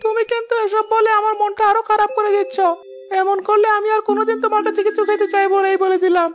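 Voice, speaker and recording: A performer voicing an eager, pleading little village boy in an animated moral story, earnest and coaxing. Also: extremely high pitch, fast talker, soft background music. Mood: sulky. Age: child character.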